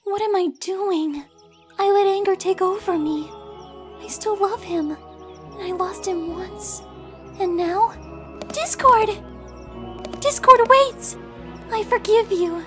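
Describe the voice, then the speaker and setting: A woman playing a gentle, lovesick young female pony with wounded feelings in an audio drama, speaking earnestly and emotionally. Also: very high voice, takes it slowly, long pauses, faint music behind. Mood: regretful. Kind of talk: fan audio drama acting.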